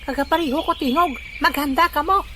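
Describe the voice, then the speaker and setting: A woman's voice: high-pitched, brisk, very noisy, close to an everyday voice. A woman playing an eager, comical uncle in an audio drama, with a Filipino accent and an exclamatory, repetitive delivery. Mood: chanting, excited. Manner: exclamatory, repeats phrases.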